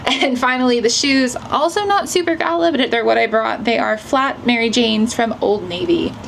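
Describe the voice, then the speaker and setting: A woman's voice: fairly high pitch, moderate pace, gravelly, fairly bright tone, slight background noise. A woman chatting casually for a travel vlog in a self-deprecating way. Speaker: travel vlogger.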